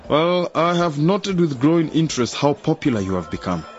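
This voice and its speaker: An actor playing a scheming male politician who offers a bribe, smooth and coaxing in a radio drama. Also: a little high, sing-song, moderate pace, faint music behind. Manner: smooth, coaxing, then threatening. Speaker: member of parliament. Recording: dull lo-fi radio drama recording.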